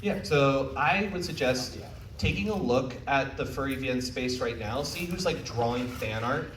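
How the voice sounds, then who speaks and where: A man's voice: medium pitch, normal speed, a bit bright. A roomy, distant recording of a man giving an informal talk. He is frank and encouraging, chatting to his listeners in a conversational way, with faint noise behind him. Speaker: panel speaker. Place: convention panel room.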